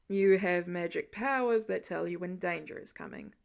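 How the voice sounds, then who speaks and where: A woman voicing a weary, sarcastic adult male hero who grumbles in a deadpan way in a story reading. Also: lowish voice, normal speed, creaky, voice pushed down. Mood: incredulous, deadpan.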